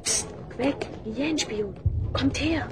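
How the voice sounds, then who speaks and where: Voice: high-pitched, slow, background noise. An actor voicing a resolute talking dog who leads with earnest, urgent commands in a fantasy radio play.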